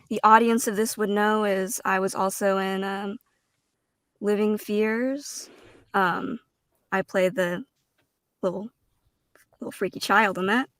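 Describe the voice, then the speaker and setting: A woman's voice: mid-range voice, fairly bright tone, clean tone, no echo. A woman voice actor introducing herself casually as a panel guest, in a joking, self-deprecating way.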